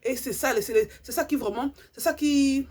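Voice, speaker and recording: Husky-voiced woman. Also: sits high, normal speed, a little mellow. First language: Lingala. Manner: earnest, repeats herself, hunts for words. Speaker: vlogger. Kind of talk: impassioned monologue to subscribers.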